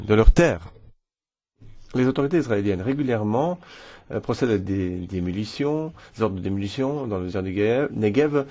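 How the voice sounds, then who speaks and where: A man giving a formal prepared statement at a press conference, measured with occasional hesitations. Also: on the low side, dark tone. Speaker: member of parliament, delegation speaker. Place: press room.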